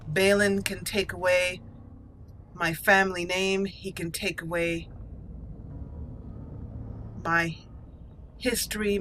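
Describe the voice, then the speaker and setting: A woman's voice: on the low side, pushed and bright, a little hiss or hum. A woman roleplaying a proud, defiant exiled young dwarven noblewoman, speaking in a solemn, formal, dramatic way. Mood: wounded defiance.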